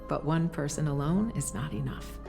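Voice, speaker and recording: A doting priestess mother from a video game in a low, breathy voice. She speaks slowly and soothingly, patient and tender, over clearly audible music.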